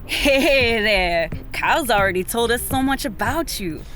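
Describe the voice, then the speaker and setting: Casting: bubbly young rookie henchwoman, friendly, highish animated voice, radio-play style. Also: a little slow, music in the background. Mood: friendly, sheepish. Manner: chirpy, eager, giggly. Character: bubbly super-strong female rookie henchwoman, friendly, apologetic. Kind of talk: audio drama acting.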